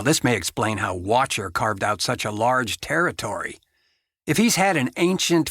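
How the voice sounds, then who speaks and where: An actor playing a hard-boiled investigator who narrates a dystopian audio drama in clipped noir style. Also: male voice, mid-range voice, sharp tone, husky, dry recording, studio quality, full character voice. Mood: intrigued.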